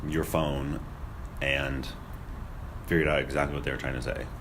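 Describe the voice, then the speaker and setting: A man's voice: extremely low pitch, little pitch movement, moderate pace. A young man chatting casually as a podcast co-host, with a skeptical, questioning manner. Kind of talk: casual conspiracy discussion. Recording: noisy room recording.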